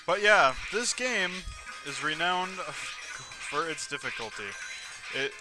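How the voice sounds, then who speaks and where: Man, slightly high-pitched voice, casual let's play commentary, rambling and self-correcting, clear game music behind him. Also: lively intonation, takes it slowly, rough recording. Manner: rambling, self-correcting, narrates on-screen action. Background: video game music.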